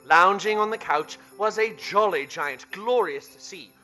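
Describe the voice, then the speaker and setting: A male narrator of a radio play, expressive and theatrical. His high, bright voice tells the story deliberately, with music behind it.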